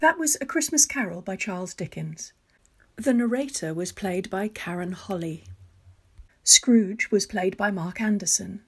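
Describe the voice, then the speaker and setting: A woman's voice: mid-range voice, a little slow. A woman announcer reading out the closing cast credits of a radio play.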